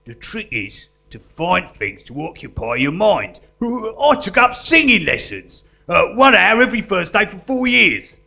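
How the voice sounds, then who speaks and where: A man's voice: sits high, very animated, a little slow, gravelly, hardly any pauses, pushed and bright, exaggerated voice. A man playing a cheeky, pretentious artist convict in a live comedy, stumbling a little and dead-serious about nonsense. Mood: matter-of-fact. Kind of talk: live comedy sketch acting.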